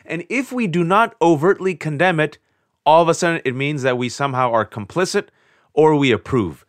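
A middle-aged man from California, a guest author on an interview podcast, telling stories with animated, sardonic shifts in his voice. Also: no echo. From California, USA. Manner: animated, sardonic storytelling with quick shifts in pitch. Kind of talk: interview conversation.

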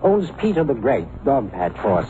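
A man's voice: mid-range voice, big pitch swings, unhurried, warm tone. A man playing a chatty, shrewd neighborhood bookie with clipped, breezy patter in a vintage radio drama. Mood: matter-of-fact. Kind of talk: 1950s radio drama acting. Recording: muffled, hissy old radio recording.

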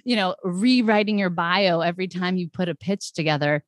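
A woman's voice: on the low side, normal speed, dry recording, studio quality. A middle-aged woman, a brand strategist guest on a podcast, talking casually and explaining with analogies, laughing often. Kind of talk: casual interview chat.

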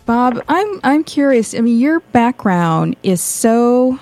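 A woman's voice: on the high side, sing-song, takes it slowly, darkish tone, faint music behind. A middle-aged woman hosting a radio show, introducing it and interviewing a guest.

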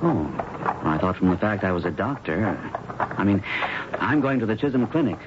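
An actor playing an earnest, awkward young doctor in a radio drama, polite and a little hesitant. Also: male voice, fairly low pitch, expressive, moderate pace, natural voice. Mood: awkward, friendly. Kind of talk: old-time radio drama acting. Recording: dull, noisy old radio broadcast recording.

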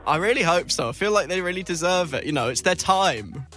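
A man's voice: sits high, expressive, fairly quick, a bit bright, soft background music. A man presenting and interviewing on a radio show in a chatty, informal, casual way.

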